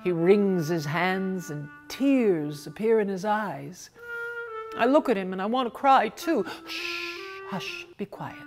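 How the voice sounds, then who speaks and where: A woman reading a short story as theatrical narration, dramatic, with emphatic pauses. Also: medium pitch, soft background music. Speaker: reader of short stories.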